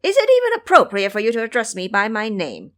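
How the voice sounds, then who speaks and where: Casting: brash monkey disciple female performer, high and very animated, formal wording, cheeky temper, for a storybook audio drama. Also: quick pace. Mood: brash, indignant. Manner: emphatic, formal storybook speech. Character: brash, excitable male monkey disciple, cheeky yet pleading.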